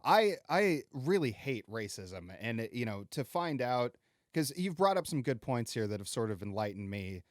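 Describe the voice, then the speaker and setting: A male podcast host chats frankly and agreeably, swearing casually now and then. His voice sits at an ordinary mid pitch. The sound is dry and close. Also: sing-song, normal speed, dry recording. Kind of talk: casual conversation.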